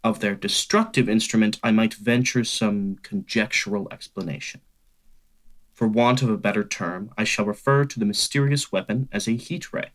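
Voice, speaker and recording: A man playing a learned scientist, formal and deliberate, explaining things in a radio play. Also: lowish voice, a bit bright, lightly acted. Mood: grave, analytical. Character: measured male scientist, a learned professor. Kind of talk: radio play expert statement.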